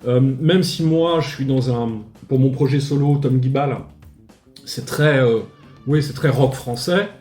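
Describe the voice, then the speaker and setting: A man's voice: medium pitch, unhurried, darkish tone, roomy sound, faint music behind. A man in his forties from Paris, a musician guest talking casually with many hesitations and restarts. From Paris, France. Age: 40s. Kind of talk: casual interview chat.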